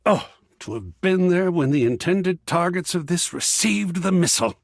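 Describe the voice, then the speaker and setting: An actor playing an excitable, kindly, philosophical art gallery curator in a video game, speaking in a florid, theatrical way that savors words. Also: male voice, high voice, moderate pace, vocal fry, no room sound, studio quality, heavily acted. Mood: excited. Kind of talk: theatrical game character dialogue.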